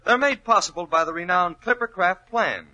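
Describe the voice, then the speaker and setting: A man's voice: high-pitched, normal speed, pushed and bright, lo-fi sound. A man with an American accent announcing in scripted 1940s radio style, polished and emphatic. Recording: old 1940s radio recording.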